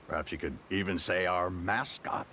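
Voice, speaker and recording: A man voicing an easygoing, chatty townsman with a relaxed, joking manner, with game music underneath. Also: low voice, unhurried, slight background noise. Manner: relaxed, jokey.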